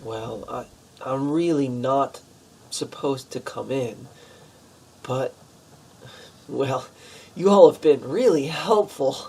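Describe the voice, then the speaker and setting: A man's voice: mid-range voice, slow pace, creaky, long pauses, warm tone, slightly rough, heavily acted. A man acting as a nervous, polite pizza shop manager in a radio play, speaking hesitantly and warily. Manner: hesitant, wary.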